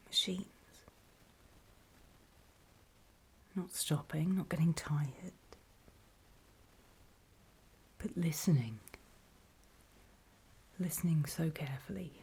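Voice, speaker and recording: Breathy, whispering woman as a wary apocalypse survivor. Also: low voice, full character voice. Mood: ominous, hushed. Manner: hushed, deliberate, halting storytelling with pauses. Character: wary, battle-worn female survivor of a zombie apocalypse. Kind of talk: whispered ASMR horror roleplay monologue.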